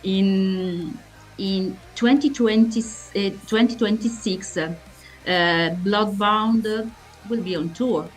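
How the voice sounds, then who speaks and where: Slow, halting Italian woman interviewer. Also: mid-range voice, fairly even intonation, soft background music, low-quality recording. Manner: halting, searching for words. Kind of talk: interview questions.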